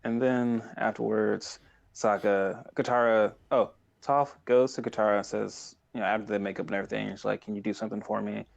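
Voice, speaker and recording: A man in his thirties co-hosting a podcast in casual chat, retelling things in an excitable, rambling way. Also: fairly low pitch, fairly quick, gravelly, muffled recording. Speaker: podcast co-host.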